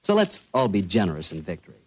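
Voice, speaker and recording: A man's voice: low-pitched, wildly swooping pitch, fast, very noisy. A man with an American accent, hosting and reading sponsor messages as a polished, folksy 1940s radio announcer.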